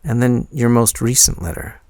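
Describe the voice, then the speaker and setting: A man's voice: medium pitch, dry recording, studio quality, lightly acted. A man playing a shy young man confiding in his crush, hesitant and intimate, in a boyfriend roleplay audio. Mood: tender, nostalgic. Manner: hesitant, halting, soft-spoken confiding.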